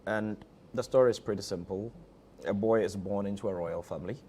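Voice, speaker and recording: A man's voice: on the low side, brisk, dark tone, slight background noise. A Ghanaian-accented man being interviewed as a theatre director, talking conversationally and leaning on repeated phrases for emphasis.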